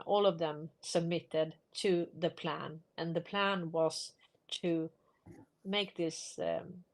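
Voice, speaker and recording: Low-pitched Swedish-accented woman host. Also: darkish tone. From Sweden. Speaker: live stream host. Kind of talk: casual unscripted livestream discussion.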